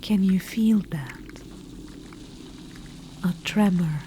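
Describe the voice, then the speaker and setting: Woman acting whispering undead witch-queen, imperious, macabre, slow, very dark, lowish. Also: a little hiss or hum. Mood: doting menace. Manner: whispered, purring, calls listener darling; haughty and gleefully macabre. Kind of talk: whispered ASMR audio roleplay.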